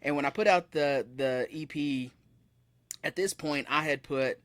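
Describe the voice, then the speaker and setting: A man's voice: a little high, measured pace, fairly bright tone, dry recording. A man in his thirties, a musician guest telling stories in a casual, rambling way with frequent self-corrections. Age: 30s. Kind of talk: casual interview chat. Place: room with metal posters behind him.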